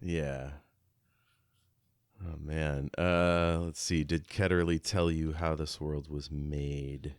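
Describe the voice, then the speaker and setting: A man with a low voice talks slowly in a casual book discussion. He is a podcast co-host who muses over his notes and poses questions, and the sound is very dry and close. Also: level tone, clear, resonant tone, no echo.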